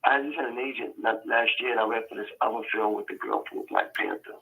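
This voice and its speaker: New York actor, gravelly and sharp-toned, rambling casual talk over a phone line. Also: male voice, mid-range voice, lively intonation, quick pace. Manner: rambling, many restarts and fillers. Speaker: actor guest. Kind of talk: casual interview chat. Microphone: phone call.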